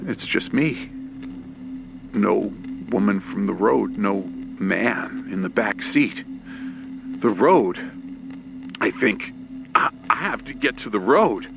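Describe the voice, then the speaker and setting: Slow, low, gravelly man narrating horror. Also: lively intonation. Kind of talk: scripted first-person horror narration in old radio play style. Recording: clear background noise with ambience and vehicle sounds. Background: rain, ambience and vehicle sound effects.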